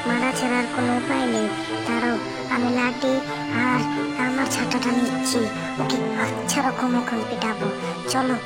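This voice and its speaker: A woman voicing a nagging, excitable fox wife in a cartoon, given to scolding exclamations. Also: a little high, lightly acted. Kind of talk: animated cartoon dialogue. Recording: loud music behind the voice.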